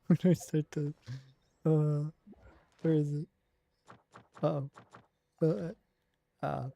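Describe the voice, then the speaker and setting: A man giving casual live commentary on a video game, rambling and halting as he thinks aloud. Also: on the high side, steady tone, creaky, dark tone. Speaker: game streamer.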